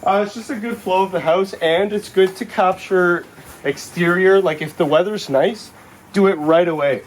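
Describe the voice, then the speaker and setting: A man's voice: high-pitched, normal speed, a little hiss or hum, poor audio. A man working as a videographer outdoors, giving brief, easygoing replies in casual chat. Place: outdoors at a house.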